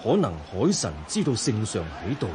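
An actor playing a calm, devout Buddhist monk with a composed manner, in a Hong Kong Cantonese radio drama. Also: male voice, mid-range voice, background noise, natural voice. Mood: pondering. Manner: composed, measured. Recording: radio drama with loud outdoor crowd ambience.

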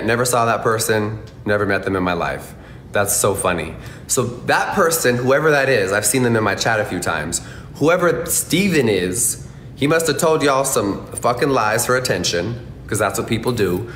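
A man's voice: animated, moderate pace, fairly bright tone. A man sarcastically and indignantly denying an accusation. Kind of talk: defensive clip played on stream. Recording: roomy clip with faint rumble.

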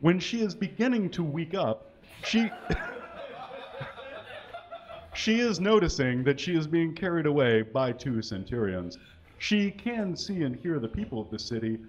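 A man giving a live comedic reading of fan fiction on a small stage, mostly dramatic with deadpan asides, reading punctuation aloud. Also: fairly high pitch, unhurried, long pauses, background noise. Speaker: comedic reader. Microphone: stand mic. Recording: dull, lo-fi live recording.

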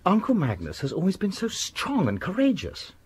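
An actor playing an earnest, worried young gentleman with an English accent in a radio drama, well-spoken and impulsive. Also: high-pitched, wildly swooping pitch, normal speed, slight background noise, exaggerated voice. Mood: puzzled, troubled.

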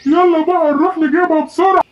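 A performer plays a silly cartoon monkey with a high voice and an Egyptian accent. The monkey is fawning and excitable, repeating itself. The sound is dull with faint noise. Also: quick pace. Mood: excited. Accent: Egyptian Arabic. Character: foolish, excitable monkey spy, eager to please boss. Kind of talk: children's animated animal fable. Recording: muffled, noisy home recording.

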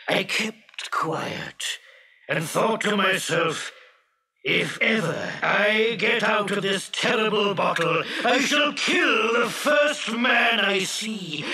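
Giant wrathful genie, storybook villain, piercingly forceful, high-pitched booming bellow, theatrical, vengeful. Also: male voice, heavily acted. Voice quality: booming, gravelly. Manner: slow, bellowing, theatrical delivery. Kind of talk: children's storybook character voice. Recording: echoing, distant-sounding reverberant voice.

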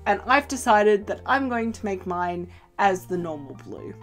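A young woman chatting casually in an upbeat show-and-tell way, filled with little fillers and playful pitch jumps, recorded in a small sewing room. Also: lively intonation, moderate pace, faint music behind. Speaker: cosplay vlogger. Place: small indoor sewing room with fabric shelves. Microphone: camera in front at desk distance.

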